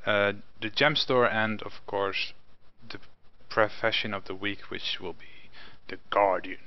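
A young man, a native Norwegian speaker, chats casually about games, rambling and restarting his sentences. His voice sits low and comes across smooth and clear. The headset audio sounds dull and lo-fi, like a webcam call. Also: fairly even intonation, measured pace. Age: young adult. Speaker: co-host. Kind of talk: casual gaming chat. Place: home room with window. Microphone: headset mic.

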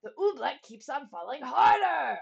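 A woman's voice: sits high, sing-song, normal speed, creaky, muffled recording, pitched above the performer's own voice, heavily acted. A woman voicing a proud, blustering old storybook king who groans and bellows in a haughty way. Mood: groaning, baffled.

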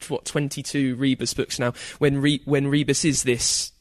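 A man's voice: medium pitch, little pitch movement, moderate pace, no room sound, studio quality. A man hosting an interview, asking long conversational questions that he tentatively rephrases as he goes. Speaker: podcast host, interviewer.

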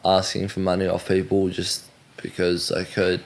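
A man's voice: low voice, completely flat delivery, normal speed, gravelly, a little hiss or hum. A young man in his late teens with a New Zealand accent, talking candidly and offhandedly into a phone held at arm's length as he films his own video diary outdoors on a farm. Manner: candid and offhand, with frequent fillers. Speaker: teen documenting his own life. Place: outdoors on a rural farm and in a bike shed. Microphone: phone camera held at arm's length.

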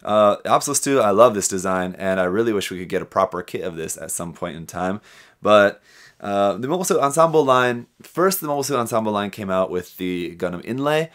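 A man's voice: on the low side, expressive, fairly quick, fairly bright tone. A young man hosting a hobby news show, running through items casually with his own commentary. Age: young adult. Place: small indoor hobby workshop room. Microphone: desk microphone with pop filter, close.

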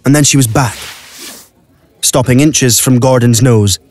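A man's voice: medium pitch, a little slow, a little mellow, no echo. A man narrating a scripted audio play as a dramatic storyteller. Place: dry recording studio.

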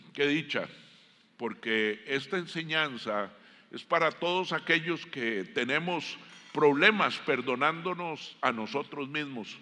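A middle-aged Costa Rican man preaching a sermon, deliberate and emphatic, pausing often between phrases. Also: a little high, sharp tone. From Costa Rica. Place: large indoor church auditorium stage. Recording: long hall reverb from stage sound system.

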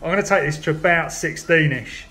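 A man's voice: fairly high pitch, a little fast, sharp tone. A man narrating a casual hands-on tutorial, explaining informally step by step as he works. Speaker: hobbyist demonstrator.

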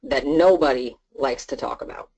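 An insurance sales trainer gives an informal talk to colleagues on a conference call. The delivery is chatty and conversational, often playing out what would be said to clients. The voice sits at a middling pitch. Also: normal speed, gravelly, a little mellow, slightly rough. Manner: informal, chatty, role-plays client dialogue.

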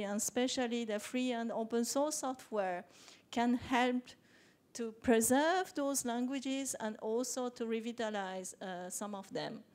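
A woman moderating a panel discussion on a conference hall stage, speaking in measured phrases with filler pauses. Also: fairly high pitch, darkish tone.

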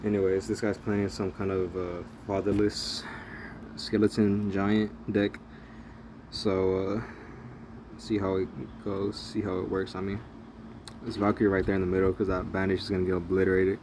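A man's voice: sits low, completely flat delivery, moderate pace, noisy background. A young man rambling through casual, profane play-by-play of a mobile strategy game as a gaming channel host. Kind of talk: casual gameplay commentary.

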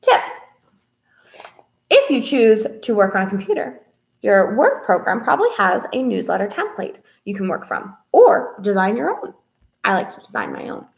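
A young woman presents a children's story time in an expressive, sing-song way, as a librarian talking to kids. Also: medium pitch, normal speed, creaky. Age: young adult. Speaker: children's librarian hosting a read-aloud. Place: small indoor room with whiteboard.

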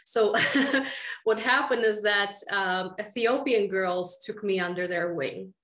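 A woman's voice: mid-range voice, takes it slowly, fairly bright tone. Woman from Russia, echoey room. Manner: candid, chatty storytelling with light laughs. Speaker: guest author. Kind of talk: casual interview over video call. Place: small room indoors. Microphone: video call.

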